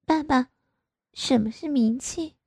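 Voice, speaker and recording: Pouty coy young wife, voice pitched up high, wheedling, woman acting it. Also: slow pace, gravelly, darkish tone, no room sound, clean studio sound, exaggerated voice. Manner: pouting, wheedling.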